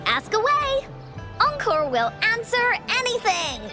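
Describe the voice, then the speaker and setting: A woman's voice: very high-pitched, sing-song, takes it slowly, exaggerated voice. A woman voicing a cheerful, childlike girl who talks about herself in the third person, in a video game. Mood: friendly. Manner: bubbly, refers to herself by name. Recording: game audio with music behind.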